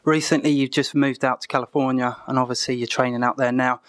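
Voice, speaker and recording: A man asking measured, polite interview questions. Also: medium pitch, flat delivery, no echo, clean studio sound.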